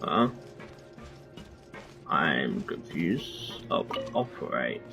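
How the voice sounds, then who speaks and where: A man's voice: very deep voice, sing-song, very slow, creaky, music in the background. A young adult man giving casual, rambling gameplay commentary as a streamer, thinking aloud as he goes. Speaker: game streamer.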